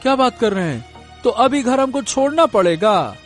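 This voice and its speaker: A man's voice: very high voice, big pitch swings, moderate pace, soft background music. A man voicing a gullible village farmer in a cartoon story, with a bewildered, rustic and at times blustering manner. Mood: helpless. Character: gullible, simple-minded village farmer father.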